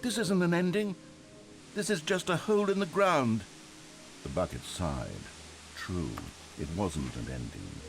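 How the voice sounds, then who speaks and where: An actor playing a wry, theatrical storybook narrator in a video game. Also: male voice, a little high, expressive, unhurried, vocal fry, soft background music. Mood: anguished. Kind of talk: scripted narration.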